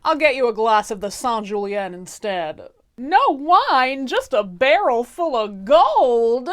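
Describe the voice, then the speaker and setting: A woman's voice: on the high side, sing-song, takes it slowly, voice pushed up. A woman voicing a spoiled, greedy, vindictive rich duchess in a theatrical, whiny, demanding way for a comic fairy tale. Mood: sly showing off.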